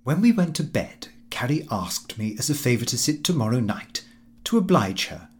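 A man's voice: medium pitch, sing-song, moderate pace, lightly acted. A man voicing a pompous, fussy middle-aged Victorian clerk in comic audiobook narration, prim and precise in his delivery. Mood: self-important. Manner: prim, self-important, precise.

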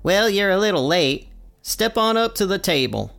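A man voicing a brisk, sardonic serving woman from a cartoon restaurant. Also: sits high, lively intonation, creaky, fairly bright tone, soft background music, voice pushed up, exaggerated voice. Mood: brisk welcome.

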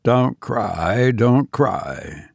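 Guy reading as a grave fearful man in love, slow and halting, audiobook style, very dry sound. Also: mid-range voice, lively intonation, gravelly, no room sound. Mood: soothing, shaken. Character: brooding, fearful man, tender toward his sweetheart. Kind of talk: audiobook character voice.

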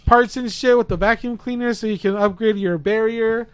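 A man's voice: high voice, steady tone, normal speed, smooth, no echo. A man co-hosting a podcast in casual chat, hyped and slangy with heavy swearing. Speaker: podcast co-host.

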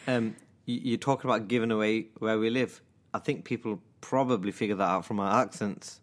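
Low-voiced Mancunian man hosting a podcast. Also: fairly even intonation, brisk. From England. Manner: hesitant, lots of ums and restarts. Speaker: podcast co-host. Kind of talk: casual chat and scripted true-crime narration.

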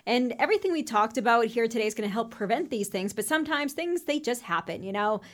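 A woman's voice: a little high, lively intonation, fast. A woman interviewing a guest, casually reading out audience questions.

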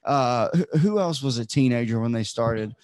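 A man's voice: medium pitch, normal speed, darkish tone, no room sound, studio quality. A man in his twenties co-hosting a casual podcast, teasing and chatty, with lots of fillers. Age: 20s. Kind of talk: casual chat.

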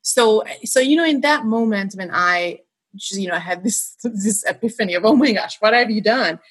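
A woman's voice: mid-range voice, moderate pace, breathy edge. A woman in her thirties from Hungary, whose first language is Hungarian, telling her story as a guest in a casual interview, with frequent restarts. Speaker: podcast guest.

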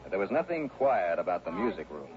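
Deep-voiced wry radio detective. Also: male voice, very animated, fast. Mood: narrating. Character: wry, self-assured male insurance investigator, hard-boiled sleuth. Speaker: lead actor and narrator of a radio detective series. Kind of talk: 1960s radio drama acting. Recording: muffled, noisy old radio recording.

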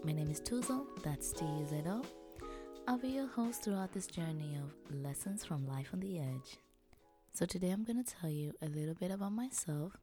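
Woman hosting her podcast, low mellow voice, dry close sound, telling her own story. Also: no echo. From Tanzania. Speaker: podcast host. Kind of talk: personal storytelling monologue.

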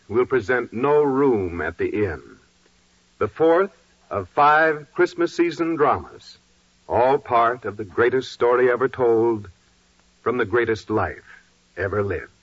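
A man working as a network announcer, reading formally and with measured delivery in 1940s radio style. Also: medium pitch, animated, fairly bright tone, no room sound. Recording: muffled old 1940s radio broadcast.

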